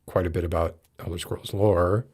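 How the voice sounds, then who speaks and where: A man hosting a casual livestream interview, chatting with halting, hesitant phrasing. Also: low voice, fairly even intonation, a little mellow, slightly rough. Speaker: livestream podcast host.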